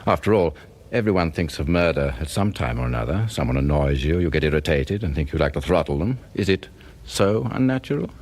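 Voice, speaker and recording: A man's voice: rumbling low, lively intonation, fairly quick, few pauses, a little mellow. A British man playing an anxious, suspicious professor who narrates a radio drama in a formal, brooding way. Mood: troubled, brooding. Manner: formal, brooding, swinging in emphasis. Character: anxious, suspicious professor narrating his own unravelling marriage. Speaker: narrator and lead actor.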